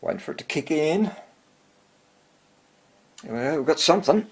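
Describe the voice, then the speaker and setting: An older man with a husky voice, giving casual, halting hands-on commentary as he tinkers at an indoor workbench. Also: a little high, very animated. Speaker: radio repair hobbyist.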